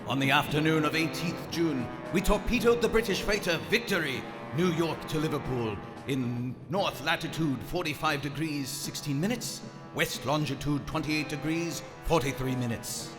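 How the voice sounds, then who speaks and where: A man's voice: a little high, normal speed, lightly acted. Radio drama U-boat commander played by a man: formal, cold, stiff authority, over music in a reverberant hall. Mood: grave, formal. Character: proud, cold German U-boat captain of the Great War. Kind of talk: live radio drama, first-person narration and orders. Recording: live theater, long hall reverb.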